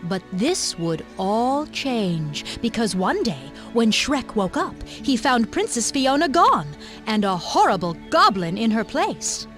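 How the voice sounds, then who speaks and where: A woman's voice: a little high, very animated, slow, fairly bright tone, faint music behind. A woman narrating a scripted fairy tale in a dramatic storytelling manner.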